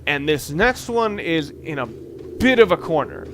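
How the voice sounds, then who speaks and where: A man giving casual, halting gameplay commentary as a let's play host, rambling and thinking aloud as he plays. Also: fairly high pitch, sing-song, a bit bright, a little hiss or hum.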